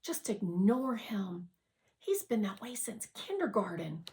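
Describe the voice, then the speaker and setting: A woman's voice: medium pitch, big pitch swings, slow, a little mellow. A woman voicing a friendly, forthright schoolgirl in a storybook read-aloud, casual and encouraging. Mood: dismissive.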